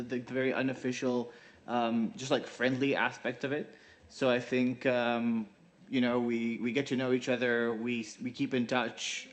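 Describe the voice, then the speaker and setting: A man on a youth panel adding to an answer, casual and rambling, with many repeated fillers and restarts. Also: mid-range voice. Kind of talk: conference Q&A answer.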